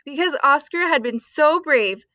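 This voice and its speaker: A woman reading a children's story aloud expressively, with deliberate dramatic emphasis. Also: high-pitched, animated, pushed and bright, no echo. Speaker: storytime reader.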